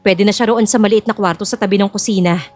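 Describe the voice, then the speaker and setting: A woman's voice: medium pitch, fairly even intonation, fast talker, a little mellow, dry recording, faint music behind, natural voice. A woman playing a greedy, haughty middle-aged mother in an audio drama, with a scornful, theatrical delivery. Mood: smug scheming. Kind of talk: audio drama character acting.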